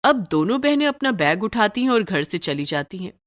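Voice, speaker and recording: A woman's voice: mid-range voice, sing-song, moderate pace, no echo, clean studio sound. A woman storyteller reading scripted narration for a moral story.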